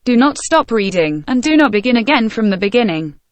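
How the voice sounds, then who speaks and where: A woman's voice: on the high side, big pitch swings, fairly quick, no room sound. A woman giving scripted instructions as the narrator of an exam practice exercise.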